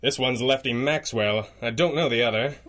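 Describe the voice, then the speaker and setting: A gallant masked vigilante boss played by a man from a pulp radio adventure. He speaks at a middling pitch with composed authority. He reassures and directs with a dry, wry touch. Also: moderate pace, noisy background, natural voice. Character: calm, gallant masked male vigilante leader. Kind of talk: pulp radio drama acting in a 1930s manner.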